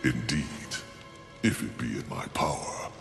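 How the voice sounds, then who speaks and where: A man playing a grave, scheming warlord of heaven in a video game, speaking formally and solemnly with a gravelly voice. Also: very deep voice, animated, a little slow, exaggerated voice. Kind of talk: dramatic video game cutscene acting. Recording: roomy reverb under game music.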